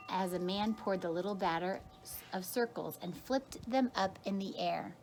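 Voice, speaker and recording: A woman's voice: mid-range voice, a little mellow. A woman teacher reads a children's picture book aloud expressively, drawing out key words for emphasis. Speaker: kindergarten teacher reading aloud.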